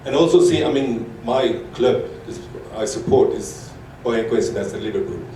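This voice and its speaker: A man from Norway asks a long question from the floor, halting and restarting as he goes. His middle-pitched voice comes from far off in a very echoey hall, with a faint hiss underneath. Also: dark tone. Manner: halting, repeats words, fillers. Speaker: audience member asking a question. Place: large echoey conference hall.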